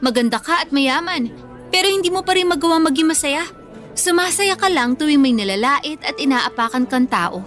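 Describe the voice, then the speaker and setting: A woman's voice: high voice, lively intonation, fairly quick, a bit bright, soft background music. A woman playing a wronged young female student with a Filipino accent in a radio drama, vengeful, defiant and taunting. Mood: scornful, righteous. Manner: taunting, confrontational, emphatic.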